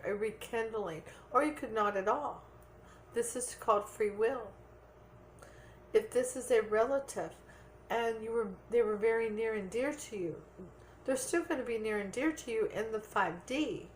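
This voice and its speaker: A woman's voice: on the high side, a little hiss or hum, low-quality recording. Earnest woman giving a tarot reading. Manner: earnest, repeats points with "okay?". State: losing her voice. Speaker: tarot reader. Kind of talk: tarot reading, addressing viewers.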